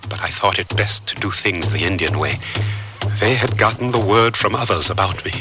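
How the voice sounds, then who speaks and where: A man's voice: sits low, moderate pace, music in the background, lightly acted. An actor playing an earnest, lovestruck young African frontiersman, sincere and a little hesitant, in a radio drama. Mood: excited reminiscence. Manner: earnest, storytelling, hesitant at times. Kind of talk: radio drama narration and acting.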